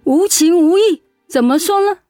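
An actress playing a frank, caring confidante in a radio drama, with a chiding, persuasive manner. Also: female voice, extremely high pitch, moderate pace, dark tone, no room sound, faint music behind. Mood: probing.

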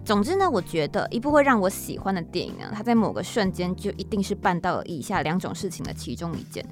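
A woman's voice: fairly low pitch, big pitch swings, quick pace. Taiwanese woman podcasting over music. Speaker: podcast host. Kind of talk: casual solo podcast talk. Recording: music playing under the voice. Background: background music.

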